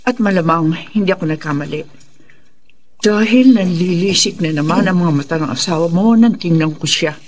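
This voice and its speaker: A woman's voice: on the low side, moderate pace, darkish tone, slight background noise, natural voice. An actress playing a resolute faith healer mother with a solemn, authoritative delivery in a horror radio drama. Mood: grave, commanding.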